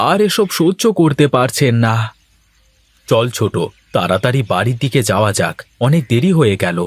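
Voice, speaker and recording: A fed-up village family man from a cartoon, played by a male actor. He talks in an earnest, measured, plaintive way, like a son worn down by quarrels at home. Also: medium pitch, no echo, close to an everyday voice. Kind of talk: Bengali cartoon dialogue acting.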